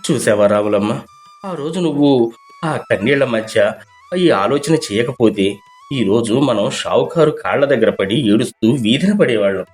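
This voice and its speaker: A man voicing a proud, calm village husband who speaks in a firm, measured, dignified way. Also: medium pitch, faint music behind, natural voice. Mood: grateful.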